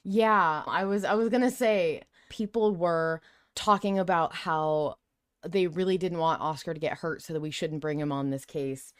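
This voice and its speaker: A woman's voice: deep voice, fairly even intonation, moderate pace, clear, resonant tone, dry recording, clean studio sound. A woman podcast host talking in casual, frank and playful conversation.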